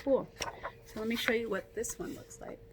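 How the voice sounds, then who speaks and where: A woman teacher reading a story aloud to children, expressive and encouraging, with casual asides to her young listeners. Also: fairly high pitch, normal speed, slight background noise.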